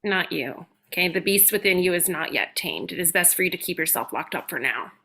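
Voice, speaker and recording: A woman's voice: fairly low pitch, lively intonation, a little fast, vocal fry, bright, forceful tone, full character voice. A woman playing a stern, mysterious guardian of a shapeshifter, solemn and commanding, in a comedy horror reading. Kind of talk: comedic horror script table read.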